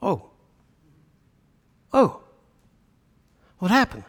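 A man's voice: high voice, wildly swooping pitch, drawn-out words, a bit bright, big-room sound. A man from central Switzerland with a Swiss German accent, preaching a sermon with emphatic rhetorical questions. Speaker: pastor.

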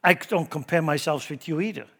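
A middle-aged man with a Swiss German accent, preaching a sermon in church, emphatic and shifting from loud to hushed. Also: fairly high pitch, brisk. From Switzerland. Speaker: pastor.

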